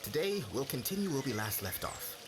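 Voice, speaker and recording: A man's voice: mid-range voice, big pitch swings, fairly quick, background noise, pitched above the performer's own voice, natural voice. A man playing a kindly professor who formally instructs young students at a magic academy in an ASMR roleplay. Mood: formal, instructive.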